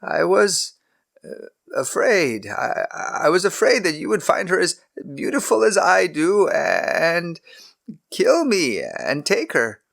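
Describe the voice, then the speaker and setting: A man's voice: sits high, very animated, slow pace, gravelly, fairly bright tone, voice pushed up, heavily acted. A man voicing a timid old patriarch who stammers and hesitates. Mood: flustered.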